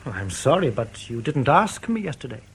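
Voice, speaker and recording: An actor playing an urbane, guarded German male defector in a radio drama, formal, wry and precise, with a husky voice. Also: mid-range voice, sing-song, fairly quick, full character voice. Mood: guarded, explaining.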